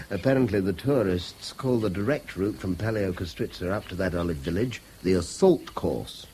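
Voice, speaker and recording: A mild, courteous Englishman in a radio drama. His voice is fairly low with lively intonation, slightly hesitant, over faint scene noise. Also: normal speed. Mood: relaxed, genial. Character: polite, mild-mannered middle-class Englishman, former soldier. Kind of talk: British radio drama acting.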